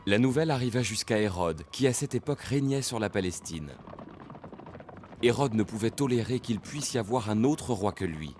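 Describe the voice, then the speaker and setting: A man giving formal, measured scripted narration for an animated Bible story. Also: fairly low pitch, faint music behind.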